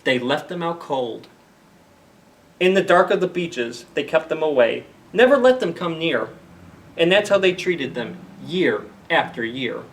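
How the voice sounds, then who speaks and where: A man's voice: a little high, expressive, a little slow, recorded from a distance. A man hosting a story time for young children, reading aloud and explaining things simply with questions to the listeners.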